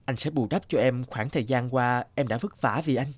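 A man's voice: mid-range voice, brisk, slight background noise, close to an everyday voice. A man voicing an earnest, anxious young suitor in a cartoon, speaking a little hesitantly. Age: young adult. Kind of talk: cartoon voice acting.

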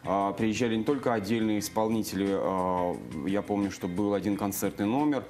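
A man's voice: fairly low pitch, moderate pace, darkish tone, soft background music. A man in his thirties, a guest in a TV studio, chatting casually with many fillers and restarts on a lapel mic. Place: TV news studio.

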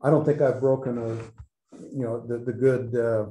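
A man's voice: mid-range voice, little pitch movement, normal speed, mellow. A man co-hosting a woodworking show, chatting casually with joking asides. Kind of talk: casual chat. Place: woodworking shop indoors. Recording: echoey workshop.

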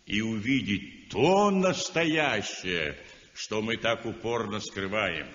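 A stern, sardonic art critic who mocks and declaims slowly, his pitch swinging widely. The old radio drama recording is dull and lo-fi, with a roomy hall echo. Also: fairly bright tone, heavily acted. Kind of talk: 1960s radio drama acting.

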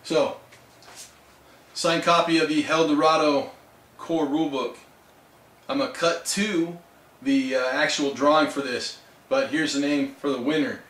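A man in his thirties with a raspy voice, hosting a casual tabletop terrain review for his viewers, loose and chatty. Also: a little high, steady tone, measured pace, pauses often, fairly bright tone. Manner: loose and chatty, full of fillers and asides. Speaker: tabletop hobby channel host.

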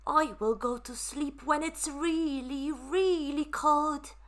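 A woman voicing a small, busy storybook squirrel who pleads in drawn-out phrases. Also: high-pitched, voice pushed up. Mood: pleading.

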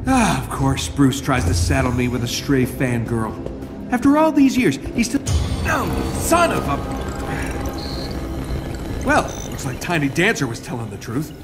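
An actor playing a cocky, wisecracking billionaire superhero inventor in a video game cutscene, with sarcastic, quippy delivery. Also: male voice, medium pitch, huge pitch swings, a little slow, over music. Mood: sardonic.

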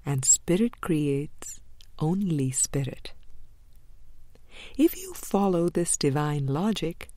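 A woman reading a spiritual book aloud in a measured, deliberate way, pausing between short phrases. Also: deep voice, dark tone, no room sound. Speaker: audiobook reader.

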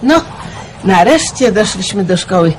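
A woman's voice: medium pitch, animated, measured pace, a little hiss or hum, natural voice, rough recording. A woman playing a kind, practical village mother in a radio play, speaking plainly. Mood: friendly.

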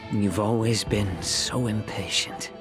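A man voicing a weary, gentle man with failing eyes in game dialogue, speaking calmly. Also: on the low side, slow, over music.

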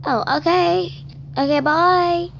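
A woman's voice: very high-pitched, steady tone, slow pace, vocal fry, a bit bright, muffled recording, a little hiss or hum, voice pushed up, full character voice. A woman voicing a meek, eager girl doll in a put-on British accent, going along with her friend.

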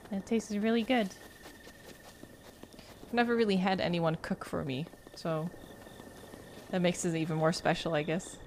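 A woman's voice: lowish voice, steady tone, a little hiss or hum. A young woman in her twenties chatting casually and giggling while streaming games at home. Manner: giggly, unhurried. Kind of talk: casual chat while gaming.

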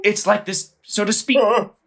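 A man's voice: high-pitched, fairly even intonation, normal speed, creaky, a bit bright, slight background noise. A man playing a hot-headed, lovestruck landowner in a comic audio play, bombastic and volatile, shifting between bellows and murmurs. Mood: agitated confession. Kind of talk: theatrical audio play comedy.

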